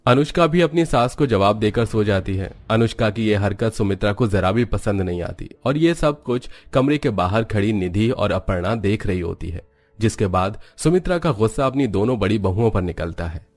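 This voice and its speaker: Low-pitched male story narrator. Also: animated, moderate pace, darkish tone.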